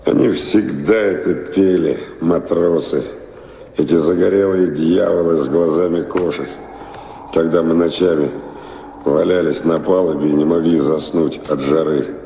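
A deep, mellow wandering seafarer. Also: male voice, fairly even intonation, vocal fry, slight background noise. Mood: wistful. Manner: musing, storytelling in short halting phrases. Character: wandering male seafarer and former pirate, talkative, nostalgic. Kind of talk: Russian audio drama acting. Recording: roomy reverberant hall sound.

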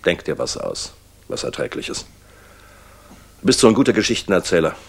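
A man's voice: low voice, very animated, moderate pace, gravelly, breathy edge, exaggerated voice. A man acting a weary, hard-driven general in a 1960s German radio drama, terse, urgent and wry. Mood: gruff, concerned.

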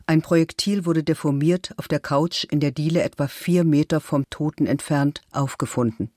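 A man's voice: a little high, normal speed, a little mellow, no echo, lightly acted. An impersonal male official voiced by an actor lists evidence from a police report. The reading is sober and exact, in radio documentary style, with a very dry sound.

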